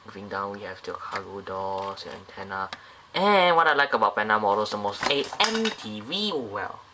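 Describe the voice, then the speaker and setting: A man's voice: fairly low pitch, very animated, normal speed, noisy background. Chatty man reviewing a model plane. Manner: rambling, many fillers and restarts. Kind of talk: casual product review.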